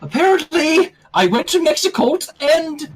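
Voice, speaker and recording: Dainty haughty teen girl, played by a man, high and theatrical, swooping delivery, faint noise. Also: sing-song. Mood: reluctant, mortified. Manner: arch, theatrical, drawn-out delivery. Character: refined teenage girl, dainty, haughty and dramatic.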